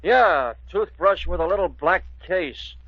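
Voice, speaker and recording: An actor playing an obliging, excitable bath attendant in colloquial 1940s radio drama style, on a dull old recording. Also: male voice, high voice, a little slow, slightly rough, dry recording, full character voice. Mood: earnest.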